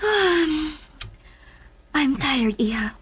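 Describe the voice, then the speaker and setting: Tired guarded mother, Filipino accent, high voice, subdued sadness, radio drama style. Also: lively intonation, extremely slow, a bit bright, heavily acted. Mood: weary, sad. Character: weary, dismissive, guarded mother hiding sadness. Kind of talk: Philippine radio drama acting.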